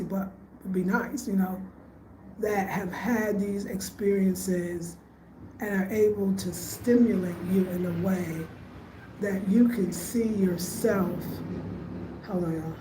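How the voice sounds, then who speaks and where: A woman's voice: medium pitch, mellow, a little hiss or hum. A woman in her late fifties, chatting casually and reflectively to her viewers at home, calling them y'all. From United States. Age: 50s. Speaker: vlogger. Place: home room in Ghana. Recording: echoey room, faint ambience.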